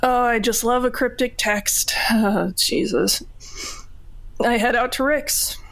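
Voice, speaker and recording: Female tabletop player, somewhat high pitch, dry humor, conversational improvised delivery. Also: takes it slowly, a bit bright, low-quality recording. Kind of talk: casual in-character improvised chat.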